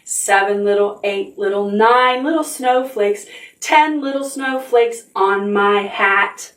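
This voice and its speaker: Lady librarian doing kids storytime, slow sing-songy voice, cheering the little ones on. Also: medium pitch, fairly bright tone, reverberant, recorded from a distance. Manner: sing-song, encouraging, speaking to small children. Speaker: children's librarian. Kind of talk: preschool storytime with songs and reading.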